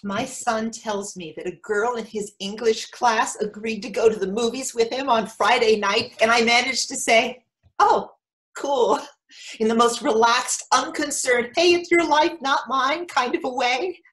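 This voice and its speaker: A woman's voice: on the high side, measured pace, a bit bright. A woman playing an eager, protective, overinvested mother in a comic monologue reading. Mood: excited. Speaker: actor in a readers theatre.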